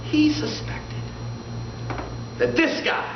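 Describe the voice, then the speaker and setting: A man telling a comic tall tale to a live audience in a theatrical manner, pausing deliberately for the punchlines. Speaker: storyteller in a tall-tale contest. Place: indoor room before a live audience, recorded from afar. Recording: roomy, distant, muffled live recording with loud noise.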